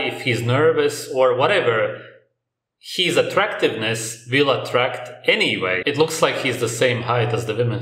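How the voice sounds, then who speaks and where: A man commenting bluntly over video clips, speaking in a bright apartment room. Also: medium pitch. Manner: dismissive, blunt critique. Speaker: reaction video host. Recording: roomy echo.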